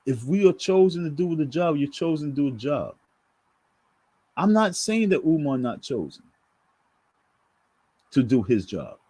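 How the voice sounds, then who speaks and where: A man's voice: fairly high pitch, big pitch swings, unhurried, pauses often, warm tone, husky, rough recording. A man giving preachy casual commentary to his viewers, emphatic and repetitive in a sermon-like way. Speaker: online commentator.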